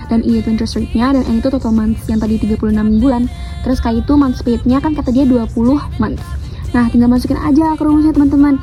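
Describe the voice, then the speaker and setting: A young woman from Indonesia with an Indonesian accent, patiently explaining a math lesson as a student presenter. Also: a little high. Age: teens. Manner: steady, patient explaining, switching between English and Indonesian.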